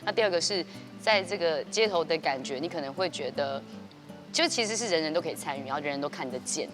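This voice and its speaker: Low-voiced taiwanese woman, 30s, talks fast, explaining her project. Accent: Taiwanese Mandarin. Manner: fluent, measured explaining with fillers. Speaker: guest, dance company artistic director. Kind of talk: interview answer. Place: indoor TV studio set.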